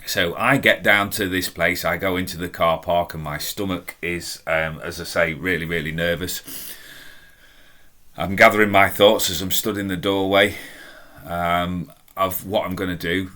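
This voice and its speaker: A middle-aged man with a deep, strong voice, telling a personal story in a chatty, self-deprecating, emphatic way. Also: fairly even intonation, normal speed, sharp tone. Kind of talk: casual anecdotal storytelling.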